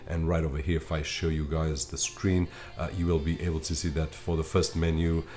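A man's voice: rumbling low, little pitch movement, brisk, mellow. A man in his thirties whose first language is Portuguese, reviewing tech gear to camera from a home office in a chatty way. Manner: chatty, talks straight to viewers with frequent fillers. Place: home office with computer desk.

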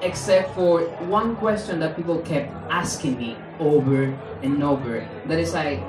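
A man's voice: unhurried, crowd noise. Spanish-accented man, stand-up comic, playful, handheld mic, echoey small club, distant, audience laughing. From Spain. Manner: animated, playful, jumps into high mock voices for quotes. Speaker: stand-up comedian. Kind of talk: stand-up comedy set. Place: small comedy club stage, indoors. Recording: roomy club sound from far off, audience laughing.

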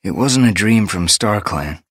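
A man voicing a male cat clan leader who speaks in a steady, gentle way. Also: on the low side, a little slow, slightly rough, no room sound, clean studio sound, voice pushed up.

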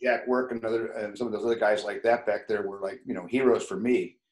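A man's voice: lowish voice, steady tone, quick pace. An older man chatting casually as a participant in a hobby video call. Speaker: meetup participant, model builder. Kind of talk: casual chat. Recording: echoey room on video call.

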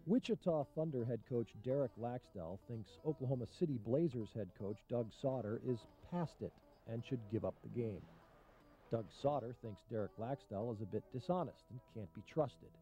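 A man's voice: mid-range voice, expressive, warm tone, faint music behind. Man narrating a hockey documentary. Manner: measured, matter-of-fact delivery.

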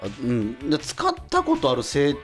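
A man's voice: mid-range voice, huge pitch swings, moderate pace. A male radio host chatting casually, with intonation that swings widely. He feels his way through sentences, repeating bits as he goes, over faint background music. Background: faint music bed.

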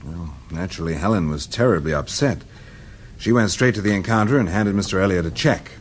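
An actor playing a polite, weary American husband in a radio drama, speaking in a measured, courteous way. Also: male voice, deep voice, lightly acted. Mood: earnest. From United States. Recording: noisy radio drama recording with ambience.

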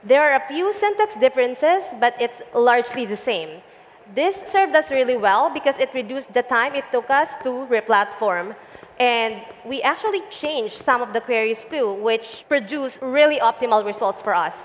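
A woman's voice: on the high side, animated, big-room sound, a little hiss or hum. Woman guest on a conference stage. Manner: measured, explanatory, some fillers. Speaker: guest, data engineering lead. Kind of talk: onstage tech interview. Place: large conference stage before an audience. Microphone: headset mic.

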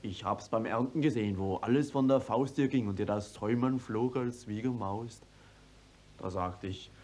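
A man's voice: fairly low pitch, moderate pace, darkish tone, slight background noise, close to an everyday voice. An actor playing a stubborn, plain-spoken young peasant who tells his story at length in a radio play. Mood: earnest storytelling. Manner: rustic, stubborn, long-winded storytelling. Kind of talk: classic German stage radio drama acting.

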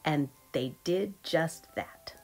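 A low-voiced woman reads a picture book to young children. She is expressive and measured in her phrasing, and soft music plays underneath. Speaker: storybook reader. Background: soft background music.

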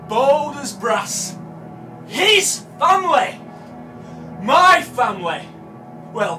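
An actor with a British accent playing a haunted, obsessive man confessing to a killing in a halting, repetitive live horror monologue that swings between hush and shouting. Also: sits high, exaggerated voice. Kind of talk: live dramatic monologue of horror audio drama. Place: small dim café stage before seated audience. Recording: distant live room mic, music behind.

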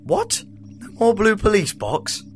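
An actor playing an eccentric, jovial time traveller in an amateur audio drama. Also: male voice, high-pitched, wildly swooping pitch, takes it slowly, creaky, a little hiss or hum, exaggerated voice. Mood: breezy. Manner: airy, jovial, upper-class British.

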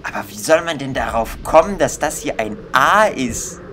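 A man's voice: medium pitch, animated, normal speed, sharp tone, slight background noise. A young man thinking aloud in casual, exasperated commentary as he plays a video game. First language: German. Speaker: gaming video host. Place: indoor room at a computer. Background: game music.

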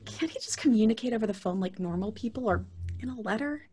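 A woman's voice: a little high, lively intonation, quick pace, mellow, natural voice. A young woman playing a reluctant, sarcastic, complaining twin sister in a live horror audio drama. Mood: annoyed, pleading. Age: young adult. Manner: whiny, sarcastic. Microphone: video call from home.

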